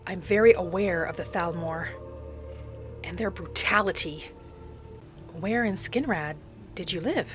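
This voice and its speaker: A woman playing a gentle, earnest female wood-elf adventurer, musing aloud as she narrates a roleplay game in character. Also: lowish voice, a little slow, pauses often, over music, close to an everyday voice. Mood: curious.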